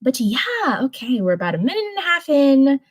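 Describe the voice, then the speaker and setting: Animated woman chatting and reading on stream. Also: sits high, normal speed. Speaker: host of a live reading stream. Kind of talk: casual livestream chat and storybook narration.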